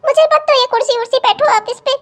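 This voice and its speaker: A woman's voice: very high voice, rapid-fire, a bit bright. A woman playing a spoiled, entitled married daughter in a family melodrama, whiny, haughty and demanding. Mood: offended, whiny. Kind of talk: Hindi family melodrama story acting.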